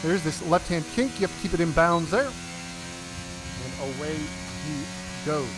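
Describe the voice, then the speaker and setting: A man's voice: a little high, animated, takes it slowly, heavy background noise. A man with an American accent giving chatty play-by-play commentary on a sim racing league race. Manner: chatty play-by-play with fillers and self-corrections.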